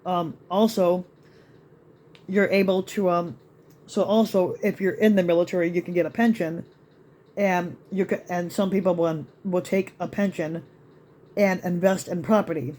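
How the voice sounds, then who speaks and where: A man ranting in a rambling vlog to his channel, with many restarts and fillers, his voice still slightly raspy after being sick. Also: sits high, fairly even intonation, moderate pace, a little mellow, slight background noise. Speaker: YouTube vlogger. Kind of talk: rambling rant to camera.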